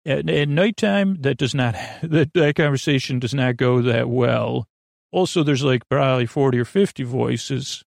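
Gravelly-voiced man rambling gently at bedtime. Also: mid-range voice, no echo, clean studio sound. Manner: meandering, halting, self-interrupting sentences that trail off; gentle, reassuring, conversational. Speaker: podcast host. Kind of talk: rambling, soothing bedtime podcast monologue.

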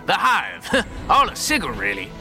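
High-voiced, swooping rat catcher. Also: male voice, wildly swooping pitch, measured pace, sharp tone, soft background music, full character voice. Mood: cagey, explaining. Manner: slangy, cagey, brusque. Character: wary, streetwise male rat catcher in fantasy slum. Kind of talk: fantasy audio drama acting.

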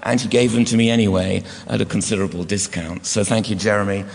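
Hoarse, low-voiced male lecturer, formal and measured, recorded in a lecture hall with long reverb. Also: steady tone, a little hiss or hum. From United Kingdom. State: hoarse, croaky voice. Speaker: guest lecturer. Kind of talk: academic lecture.

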